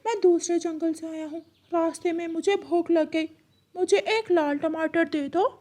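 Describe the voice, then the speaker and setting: A woman's voice: very high-pitched, steady tone, unhurried, warm tone. A woman voicing a hungry, polite parrot who pleads for food in a children's cartoon. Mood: pleading. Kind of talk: Hindi children's cartoon voice acting.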